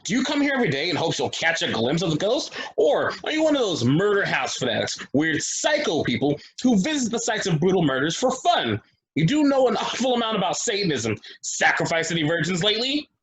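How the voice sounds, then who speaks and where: A man's voice: high-pitched, huge pitch swings, fairly quick, fairly bright tone, heavily acted, rough recording. A man acting a sardonic, troubled, blunt loner at a stage play table reading. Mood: taunting. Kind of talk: stage play table read, naturalistic dialogue.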